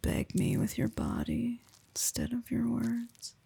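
A young woman performing an intimate audio roleplay in soft, teasing whispers. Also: on the high side, level tone, slow pace, gravelly, slightly rough.

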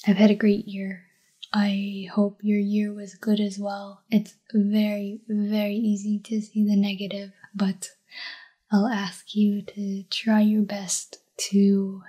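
A woman doing a soft-spoken ASMR chat in her apartment at night, rambling and drifting between a quiet voice and a whisper. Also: mid-range voice, husky, low-quality recording. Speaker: ASMR creator.

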